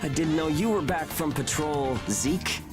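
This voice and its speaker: A man playing a quietly defiant, weary young soldier in a video game, speaking in an earnest, measured way. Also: fairly high pitch, natural voice. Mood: dry, calm. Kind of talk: video game cutscene voice acting. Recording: music behind the voice.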